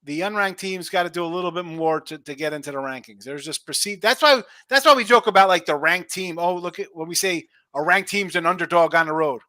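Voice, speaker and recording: A man co-hosting a sports betting show, giving emphatic, animated opinions in casual chat. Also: high-pitched, a little fast, hardly any pauses, no echo.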